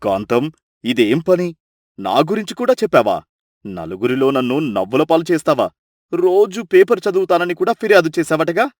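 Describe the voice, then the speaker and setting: A man voicing an embarrassed village family man who scolds in exasperation, in an animated story. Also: a little high, moderate pace, no echo, clean studio sound. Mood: reproachful. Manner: exasperated, reproachful. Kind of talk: animated moral story acting.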